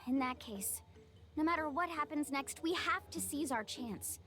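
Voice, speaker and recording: A woman's voice: high-pitched, moderate pace, pushed and bright. A woman playing a confident, upbeat young adventurer in a video game, bold and determined. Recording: game music behind the voice.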